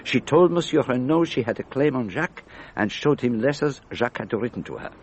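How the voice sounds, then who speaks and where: An actor playing an elderly detective in a radio drama, speaking in a precise, deliberate, patiently instructive way. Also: male voice, medium pitch, expressive, slight background noise, natural voice. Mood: explaining. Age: older. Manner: precise, deliberate, didactic questioning. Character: elderly, methodical, patient Belgian male detective.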